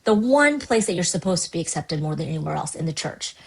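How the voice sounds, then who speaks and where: Husky earnest woman pastor, lowish voice. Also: fast. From United States, Chinese immigrant family. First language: Cantonese. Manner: earnest, animated, many restarts. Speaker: pastor, guest. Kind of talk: candid conversational podcast talk.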